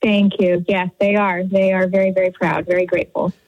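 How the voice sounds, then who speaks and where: A woman in her thirties with an American accent, giving an earnest, halting personal account as a radio interview guest. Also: fairly low pitch, little pitch movement. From Houston area, Texas, United States. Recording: narrow phone-like line, roomy echo.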